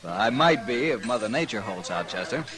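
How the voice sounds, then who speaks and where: A man's voice: lowish voice, expressive, fairly quick, crowd noise, close to an everyday voice, low-quality recording. An American actor playing a wealthy, self-important, anxious eastern businessman, formal in speech, in a 1950s radio drama. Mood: affable, pompous. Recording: vintage radio broadcast, faint noise.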